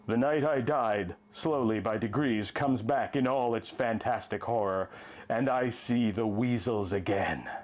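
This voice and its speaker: A man's voice: mid-range voice, measured pace, a little mellow, natural voice. A man playing a traumatized, haunted survivor of a savage attack, telling his tale in an intense, theatrical pulp horror style. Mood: haunted, subdued. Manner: intense, theatrical, lurid storytelling. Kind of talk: dramatic first-person pulp horror story reading.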